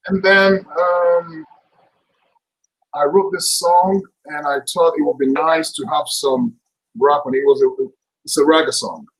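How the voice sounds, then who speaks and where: A middle-aged man, a guest actor chatting casually on a video call, relaxed and jokey. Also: on the high side, unhurried, poor audio. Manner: relaxed, laughs often, jokey. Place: indoors, room with curtains. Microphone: webcam mic on video call.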